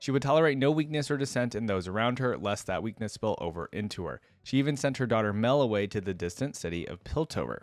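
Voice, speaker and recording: A man's voice: medium pitch, no room sound. A man hosting a reaction video, giving casual commentary and reading text aloud in a conversational way. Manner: conversational asides, thinking out loud.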